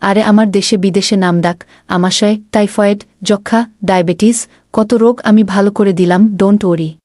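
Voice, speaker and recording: A woman's voice: medium pitch, steady tone, brisk, mellow, no room sound, clean studio sound, lightly acted. A woman voicing a boastful, self-assured lady doctor in an animal cartoon, reassuring in manner.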